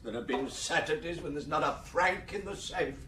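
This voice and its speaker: An actor playing a middle-aged estate steward in an audio drama, aggrieved and gossipy, with a grumbling, knowing manner. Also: male voice, a little high, lively intonation, normal speed, sharp tone, slight background noise.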